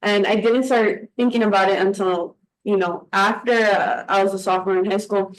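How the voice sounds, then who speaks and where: A woman's voice: mid-range voice, fairly even intonation, moderate pace, rough recording. Bilingual young woman with a Spanish accent. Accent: Mexican Spanish. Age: young adult. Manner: reflective, speaks in long winding stories with restarts. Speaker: podcast co-host. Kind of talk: casual conversation.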